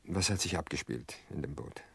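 An actor in a radio play voicing an insistent widower, probing and pressing for the truth. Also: male voice, rumbling low, lively intonation, normal speed, creaky, a bit bright, breathy edge, dry recording, heavily acted. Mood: brooding, probing. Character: insistent grieving widower pressing his sister-in-law for the truth. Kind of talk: dramatic radio play acting.

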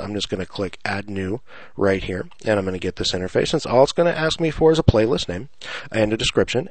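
A man's voice: fairly low pitch, no room sound. A man presenting an unscripted screencast tutorial, explaining things casually, step by step.

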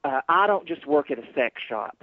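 A man's voice: a little high, expressive, moderate pace, no echo. A man arguing on a phone call, hostile, irritated and swearing heavily. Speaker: adult store manager answering the phone. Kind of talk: heated phone argument. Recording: dull lo-fi phone line.

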